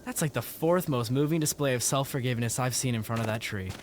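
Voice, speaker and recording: Man as a fast-talking, wry magical companion. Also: mid-range voice, background noise, close to an everyday voice. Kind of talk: family audio drama acting.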